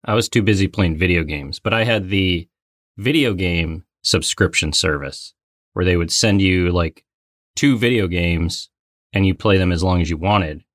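A man co-hosting a podcast in casual, playful banter, with an excitable, mock-dramatic streak. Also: low-pitched, moderate pace, no echo, clean studio sound. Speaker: podcast co-host and trivia game player.

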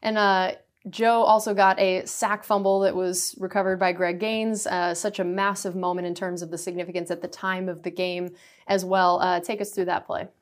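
A woman's voice: fairly low pitch, level tone, normal speed. Upbeat woman sports radio host. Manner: poised, upbeat questions with filler ums. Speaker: team reporter and show host.

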